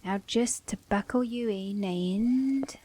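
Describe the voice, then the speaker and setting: A woman's voice: medium pitch, takes it slowly, mellow, slight background noise, natural voice. A woman with an Australian or British accent playing a sassy, caring mechanic girlfriend in an ASMR roleplay, speaking emphatically and affectionately to a partner. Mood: fussing, caring. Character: sassy, caring female mechanic girlfriend, scolding then tender. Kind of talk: ASMR roleplay audio drama.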